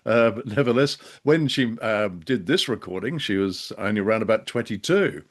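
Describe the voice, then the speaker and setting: Male podcast co-host, low voice, casual discussion, measured and hesitant. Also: expressive.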